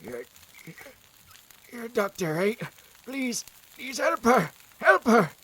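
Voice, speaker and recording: A man's voice: very high voice, big pitch swings, takes it slowly, sharp tone, breathy edge, heavily acted, poor audio. A man playing a distraught husband in an audio drama, pleading and accusing with raw emotion. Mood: desperate pleading. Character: distraught husband pleading for his dying wife.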